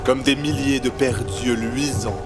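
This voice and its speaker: A man with a mid-pitched voice reads dramatic fantasy narration. He tells the tale in a scripted storytelling style, with loud music running behind him. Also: fairly even intonation, unhurried.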